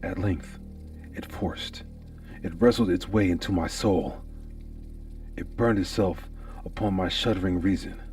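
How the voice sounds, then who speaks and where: A man's voice: sits low, darkish tone, recorded from a distance. A man giving a theatrical, anguished and intense first-person reading of a gothic horror story.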